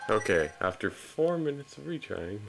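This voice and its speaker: A man's voice: on the low side, sing-song, normal speed, gravelly, poor audio. A young man giving casual, off-the-cuff video game commentary in a joking, self-deprecating manner. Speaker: let's play commentator. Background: video game music.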